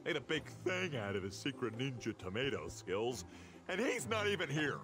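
A man's voice: mid-range voice, big pitch swings, normal speed, close to an everyday voice. A man playing a cocky, goofy, good-natured cartoon adventurer pilot, breezy and rambling. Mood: breezy, grumbling.

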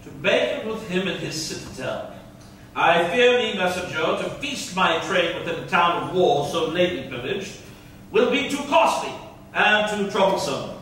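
An actor playing a proud, gracious Turkish ruler in stately verse at a staged reading. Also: male voice, high-pitched, very animated, moderate pace, a bit bright. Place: large indoor theatre stage. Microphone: far camera. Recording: distant echoey theatre stage, faint noise.

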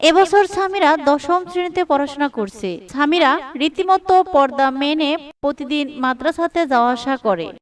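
Female narrator, high-pitched voice, scripted storybook narration, cartoon tale. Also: moderate pace, distant mic.

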